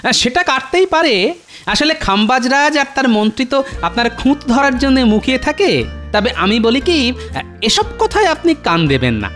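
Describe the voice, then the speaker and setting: A clever male court jester in a comedy cartoon. His mid-pitched delivery is very lively, with a wry, reasoning turn. Music plays softly behind him. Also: brisk, exaggerated voice. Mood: calm, reasoning. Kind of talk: Bengali comedy cartoon voice acting.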